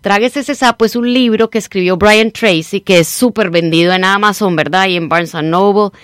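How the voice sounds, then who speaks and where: A woman's voice: medium pitch, moderate pace, no room sound. Woman, middle-aged, Colombian accent with a Nicaraguan tinge, persuasive radio guest, very dry studio sound. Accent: Colombian Spanish mixed with Nicaraguan. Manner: animated, persuasive, coaching tone with frequent "¿verdad?". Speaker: radio guest, time-management trainer. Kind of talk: radio interview, promoting a seminar.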